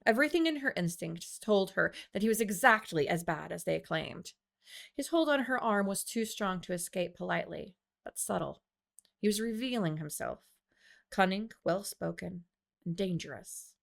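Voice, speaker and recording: A woman reading her own fiction aloud as an expressive storyteller. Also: medium pitch, normal speed, gravelly. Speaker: author reading own audiobook. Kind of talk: expressive scripted narration of fiction.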